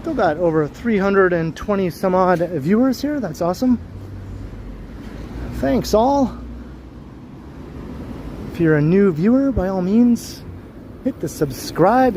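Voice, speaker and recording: A man talks easily to his viewers as he strolls down a city street. His voice is deep and mellow, and it sits a little high for a man. Faint traffic hum runs underneath. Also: lively intonation, slow, long pauses. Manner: chatty, greets viewers as he walks. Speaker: livestream host. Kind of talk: casual walking livestream chat. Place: outdoors on a busy city street.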